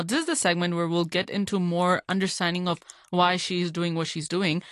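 A woman presenting a community radio interview show from a close, dry studio, her voice on the lower side. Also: fairly bright tone, no echo. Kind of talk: scripted show introduction and interview questions.

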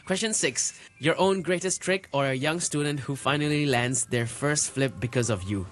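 A man's voice: fairly high pitch, normal speed, fairly bright tone. A man from Hong Kong co-hosting a podcast, reading out quiz prompts and asking curious follow-up questions. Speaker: podcast co-host. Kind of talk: casual podcast interviewing.

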